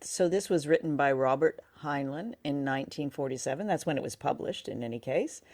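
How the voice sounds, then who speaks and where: A woman's voice: low-pitched, fairly quick, a little mellow. Woman co-hosting a casual review podcast. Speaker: podcast co-host.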